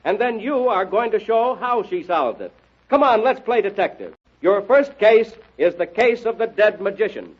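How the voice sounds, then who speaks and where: A man playing an excitable radio announcer, delivering punchy scripted lines for a mystery contest show. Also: moderate pace. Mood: excited. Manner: excited, punchy sales pitch. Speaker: announcer and narrator. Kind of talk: old-time radio announcing, scripted narration. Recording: dull old radio recording, music behind.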